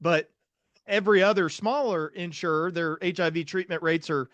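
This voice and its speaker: A young man hosts a casual interview, talking in a storytelling way and acting out his own past thoughts. His voice sits fairly high for a man. The sound is dry, with no room echo. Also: fairly even intonation, normal speed, a bit bright, lo-fi sound. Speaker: psychiatrist host.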